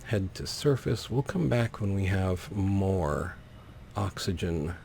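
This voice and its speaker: A man's voice: sits low, expressive, slow, vocal fry, darkish tone, a little hiss or hum. A man giving let's play commentary on a video game, often narrating his own actions in short phrases. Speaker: gaming host. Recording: faint game ambience behind voice. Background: video game ambience.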